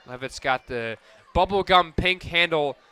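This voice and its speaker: A man calling softball play-by-play for radio, narrating each pitch and play in crisp descriptive detail. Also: measured pace. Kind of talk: live softball radio commentary.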